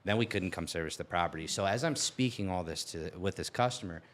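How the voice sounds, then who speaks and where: A man with a deep, low voice and an American accent speaks as a guest in a casual podcast conversation. He is earnest and tends to tell things through anecdotes, quoting what people say. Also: fast talker. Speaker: guest, lawn and landscape business owner.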